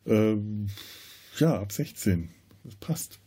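A man's voice: fairly low pitch, lively intonation, takes it slowly, dark tone, slightly rough. A man talks about a film as a podcast host in a casual way, often searching for words. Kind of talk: casual film discussion with scene retelling.

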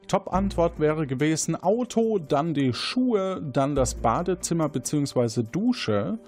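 Unhurried, lively man hosting a game. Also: a little high. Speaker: game show host. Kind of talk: moderating an interactive audio quiz game.

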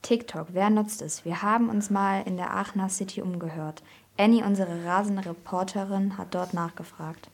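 A woman's voice: mid-range voice, steady tone, moderate pace. A woman presenting youth radio in a scripted style.

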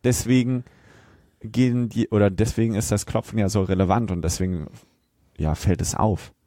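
A man's voice: on the low side, fairly even intonation, normal speed, warm tone, no echo, clean studio sound. A man co-hosting a podcast in casual chat, jumping in to correct and confirm details as he discusses. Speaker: podcast host. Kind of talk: casual chat reviewing a film.

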